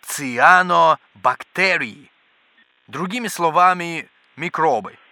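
An actor playing an excitable, pedantic scientist in a children's educational audio drama, with theatrical, exclamatory delivery. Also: male voice, fairly high pitch, slow, dry recording, exaggerated voice. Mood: eager, pedantic. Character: excitable, bookish male scientist, absorbed in microbes. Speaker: scientist character.

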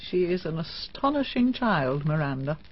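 A chatty middle-aged woman pokes fun at herself in an amused tone. Her pitch rises and falls a lot. The old radio recording is dull. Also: big pitch swings, moderate pace, slight background noise. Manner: chatty, amused, self-deprecating.

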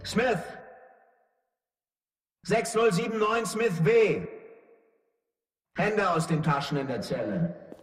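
A man's voice: high-pitched, expressive, takes it slowly, pauses often, soft background music. An actor playing a harsh male prison guard in a German radio play, barking clipped commands in an echoing hall. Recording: echoing hall with long reverb.

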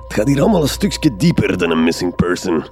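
A gruff, cocky private eye acted by a man from a noir parody radio drama, speaking low with a Flemish accent. He is curt and world-weary, over clearly audible music. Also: very animated, a little fast, a little mellow. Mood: impatient, businesslike. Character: gruff, cocky hard-boiled male private detective.

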